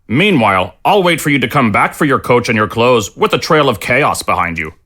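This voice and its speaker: A man's voice: mid-range voice, very animated, brisk, bright, forceful tone. A man playing an exasperated, sarcastic innkeeper who grumbles at his customers.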